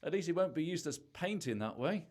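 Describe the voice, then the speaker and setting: A man's voice: fairly high pitch, expressive, fairly quick, a little mellow. A man in an amateur radio play acting a defensive, sarcastic husband who is hiding something. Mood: irritated, sarcastic. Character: evasive, irritable husband hiding a secret.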